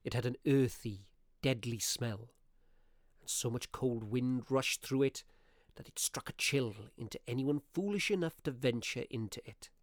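A man acting as a curious, courteous Victorian gentleman who narrates a ghost story in a radio play, with theatrical, deliberate phrasing. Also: mid-range voice, dry recording, clean studio sound, natural voice. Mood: grave, atmospheric. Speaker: narrator of an audio drama.

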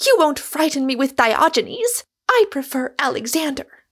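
A woman voicing an indignant, forceful young heiress in audiobook dialogue, with emphatic, scornful outbursts. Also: high-pitched, big pitch swings, very slow, pitched above the performer's own voice, exaggerated voice. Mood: scornful fury.

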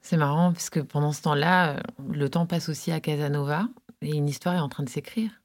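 A woman's voice: low-pitched, brisk. A woman narrating a podcast in a reflective, literary manner with conversational asides.

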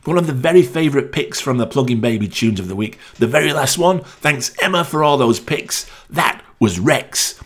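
A man's voice: mid-range voice, animated, moderate pace, few pauses. A man hosting a new-music radio show, introducing songs in an upbeat, enthusiastic way. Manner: upbeat, enthusing over the picks. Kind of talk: radio DJ links between songs.

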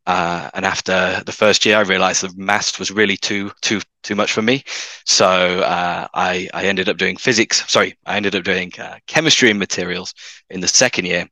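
A man's voice: low-pitched, fairly even intonation, normal speed, pushed and bright, no echo, low-quality recording. A young man with a Manchester accent, a podcast guest talking hesitantly with many fillers and restarts. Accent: Mancunian. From north of England. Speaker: guest, materials scientist. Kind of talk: podcast interview.

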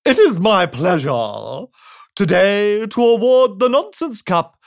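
A man voicing a pompous king in a children's storybook, making grand, drawn-out proclamations to a crowd. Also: high-pitched, big pitch swings, creaky, pitched above the performer's own voice, exaggerated voice. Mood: grand, ceremonious. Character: pompous king addressing a crowd in nonsense land.

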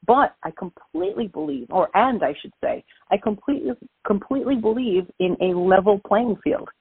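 A woman's voice: mid-range voice, animated, moderate pace, husky, no echo. A middle-aged woman from Brooklyn with a Brooklyn accent, a guest calling in to an interview and speaking energetically and emphatically. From Brooklyn, New York. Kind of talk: phone-in interview, casual. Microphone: phone line. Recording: tinny phone line.

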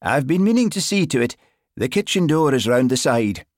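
A man voicing an affable, folksy police sergeant with a Scottish Highland accent, in an audiobook. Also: sing-song, moderate pace, darkish tone, no echo, studio quality, close to an everyday voice. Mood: hospitable. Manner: informal, folksy. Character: lanky Highland police sergeant, affable, easily flustered, sly.

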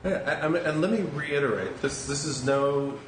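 A man's voice: on the high side, normal speed. A man in his forties with a nasal voice and a cold, talking bluntly and emphatically as a guest in a casual podcast conversation. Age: 40s. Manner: blunt, emphatic, swears freely. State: has a cold. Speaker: singer of a hardcore band, podcast guest. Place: upstairs room of a restaurant. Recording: echoey room with faint background noise.

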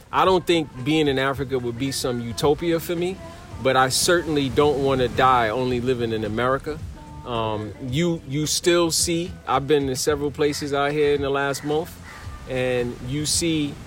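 A man talks things through in an easygoing conversation, earnest and reflective. His voice sits at an ordinary middle pitch, and faint music plays somewhere behind him. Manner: earnest, searching for words. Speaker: traveler in conversation.

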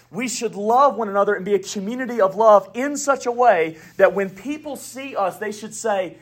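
A man preaching a sermon in a Southern US accent, with emphatic declarations, quiet asides and folksy humor. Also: sits high, slightly rough. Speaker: pastor.

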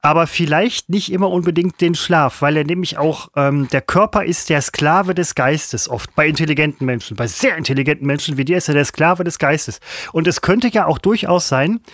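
A man's voice: fairly high pitch, normal speed, fairly bright tone, no room sound, clean studio sound. A man co-hosting a podcast, teasing and placating his partner while talking over him. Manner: teasing and placating, talks over the other host. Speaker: podcast co-host. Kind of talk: casual podcast banter.

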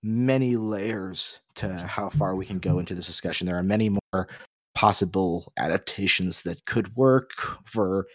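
A man's voice: low voice, measured pace, no room sound. A man co-hosting a casual, opinionated discussion, explaining his points at length in a rambling, emphatic way. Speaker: podcast co-host.

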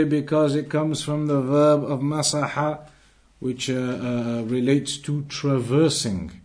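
A man teaching a religious lesson to a live class, earnest and repeating key phrases, reciting Arabic fluently and quizzing his students. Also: mid-range voice. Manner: quizzes the class and waits for answers. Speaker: Islamic teacher.

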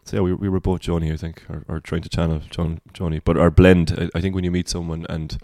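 A singer-songwriter from Cork with a Cork Irish accent and a baritone voice, speaking as a guest in a relaxed podcast conversation and thinking aloud as he talks. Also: fast talker, no room sound, clean studio sound. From Cork, Ireland. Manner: reflective, rambling.

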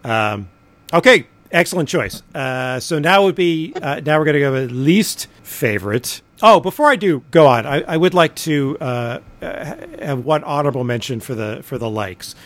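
A man of middle pitch hosts a casual podcast, giving firm opinions with a dry, wry humor. His delivery is conversational and easygoing. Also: expressive, normal speed, a bit bright, dry recording. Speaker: podcast co-host.

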